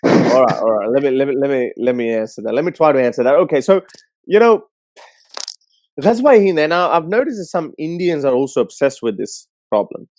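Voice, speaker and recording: A man hosting a call-in show, giving casual, blunt and scornful commentary in an animated way. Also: mid-range voice, a little fast.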